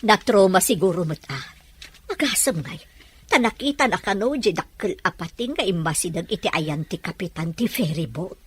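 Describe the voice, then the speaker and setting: A woman with a Filipino Ilocano accent playing a concerned, encouraging middle-aged mother in a drama, with a husky voice. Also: fairly low pitch, animated, normal speed. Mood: worried. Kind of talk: drama dialogue.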